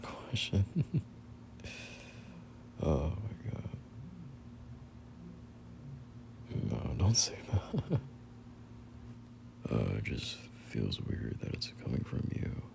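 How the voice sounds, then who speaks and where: A young man playing a teasing, bashful male friend in an intimate, casual romance audio roleplay, soft-spoken and halting. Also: sits low, creaky, heavily acted. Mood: bashful, flattered. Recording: noticeable background noise.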